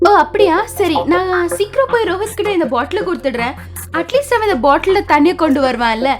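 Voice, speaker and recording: A man voicing a worried young schoolgirl in a squeaky falsetto in a cartoon, over music. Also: lively intonation, quick pace. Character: young girl student Upasana, worried.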